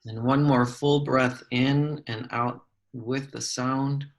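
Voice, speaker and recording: A man leading a guided meditation, giving gentle, measured instructions with long pauses between phrases. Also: medium pitch, fairly even intonation.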